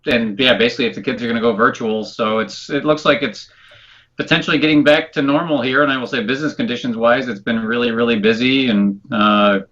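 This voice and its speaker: Bright nasal man, dull lo-fi sound. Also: mid-range voice, fast talker. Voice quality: nasal, stuffed-up. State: congested. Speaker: talk show co-host. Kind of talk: casual chat.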